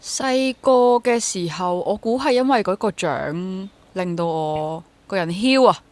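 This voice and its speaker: A woman's voice: on the low side, animated, a little slow, darkish tone, no room sound. A woman in her twenties with a Hong Kong Cantonese accent, talking candidly and self-deprecatingly as a guest in a casual interview. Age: 20s. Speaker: guest, stage actor.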